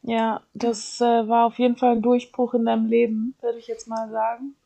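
A woman's voice: fairly high pitch, flat delivery, moderate pace, vocal fry, dark tone. A woman from southwestern Germany co-hosting a casual podcast over a video call, mostly chiming in with short agreements. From Baden-Württemberg, Germany. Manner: brief, agreeing interjections. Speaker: podcast co-host. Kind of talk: casual chat. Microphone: video call recording.

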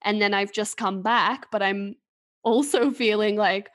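A woman from England co-hosting a casual podcast chat, talking in a rambling way with restarts and soft laughs. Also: mid-range voice, steady tone, measured pace.

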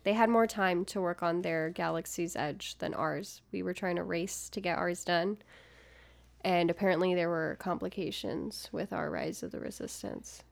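Female podcast co-host, a bit under the weather, excitable chatter that trips over itself. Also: on the low side, moderate pace, vocal fry. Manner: chatty, excitable, self-mocking. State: sick, stumbling over words. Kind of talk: casual chat.

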